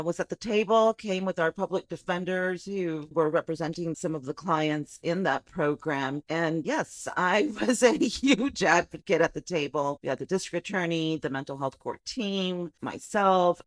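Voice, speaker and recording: Lively woman from South Texas. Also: low-pitched, moderate pace, few pauses, dry recording. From Rio Grande Valley, Texas. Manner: lively, laughs easily, talks through her story. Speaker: social worker guest. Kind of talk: podcast interview.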